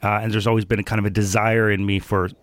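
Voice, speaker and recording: A man from Denmark, a guest in an interview, answering thoughtfully with many pauses and fillers. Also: lowish voice, flat delivery, dry recording.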